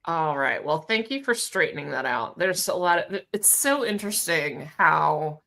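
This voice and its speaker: A middle-aged woman hosts an interview show over a video call, her voice pitched a little low with plenty of vocal fry. She sounds bright and forward, leaning into an energetic, upbeat delivery. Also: moderate pace. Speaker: podcast host. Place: home office.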